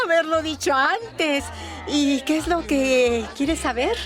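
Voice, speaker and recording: Radio drama role: chatty folksy waitress and would-be singer, very high, animated delivery, music underneath. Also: female voice, slow pace. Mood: giggly, coy. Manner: colloquial, folksy, giggly, rambling. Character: chatty, giggly barroom waitress and aspiring singer. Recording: music bed behind the voice.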